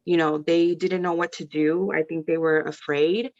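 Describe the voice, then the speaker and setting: Woman, thirties, from California, chatty and rambling, low voice, muffled call audio. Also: level tone, normal speed, a little mellow. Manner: chatty, rambling, self-correcting. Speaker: parent panelist. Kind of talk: casual video-call talk. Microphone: video call.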